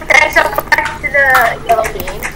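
A woman chatting excitably during a game, often repeating her words. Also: sits high, moderate pace. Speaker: gamer playing a role-play game. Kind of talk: casual gameplay chat. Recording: clearly noisy home recording.

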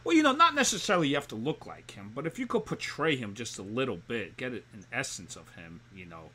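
A man's voice: medium pitch, very animated, fast talker, bright, forceful tone, breathy edge. A man hosting a casual interview over a video call from a dim room at home, asking questions in a chummy, easygoing way. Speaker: talk show host. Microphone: laptop webcam on a video call.

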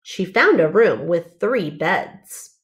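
A woman's voice: fairly low pitch, animated, takes it slowly. A woman narrating a children's storybook, reading aloud carefully and expressively.

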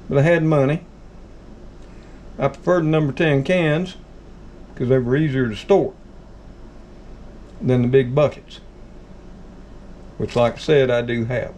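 A man's voice: mid-range voice, darkish tone, a little hiss or hum. A middle-aged Southern American man in his home kitchen, talking viewers through his food stockpile in a folksy, plain-spoken way. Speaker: channel host. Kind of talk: casual how-to talk.

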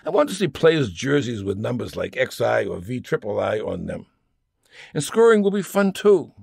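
A man's voice: medium pitch, very animated, moderate pace, vocal fry, darkish tone, breathy edge, no room sound, studio quality. A man reading a scripted humorous monologue aloud in a wry, mock-serious manner, stressing items as he lists them. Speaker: humorist reading own comic essay.

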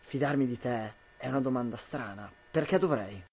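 A man's voice: medium pitch, normal speed, a little mellow, lightly acted. A man playing an anxious, suspicious citizen of a dystopian future in an amateur radio drama, dramatic and questioning. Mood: wary, astonished.

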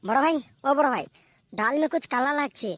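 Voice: high-pitched, animated, a little fast, a bit bright. A performer voicing a cheeky, meddling, foul-mouthed wedding companion in a cartoon, thin-voiced. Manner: meddling, teasing, crude. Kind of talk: amateur comedy cartoon voice acting.

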